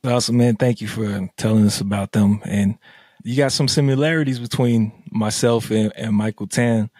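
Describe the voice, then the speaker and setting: A man's voice: fairly low pitch, steady tone, moderate pace, a little mellow, husky, dry recording. A man hosting a podcast, laid-back and casual, asking questions at home on a studio mic over a video call. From United States, Army family. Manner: laid-back, many "you know" fillers. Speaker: podcast host. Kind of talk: casual interview chat. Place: home room, indoors. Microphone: studio mic with headset, video call.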